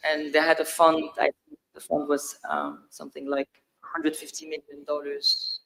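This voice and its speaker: A middle-aged woman from Lebanon telling a personal story on a discussion panel, speaking in short, deliberate phrases. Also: sits low, level tone, vocal fry, a bit bright, poor audio.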